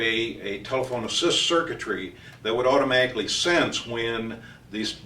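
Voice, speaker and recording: A man giving an informal technical training explanation as a company trainer, speaking haltingly with frequent hesitations. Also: lowish voice, bright, forceful tone. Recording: roomy echo, distant mic, faint noise.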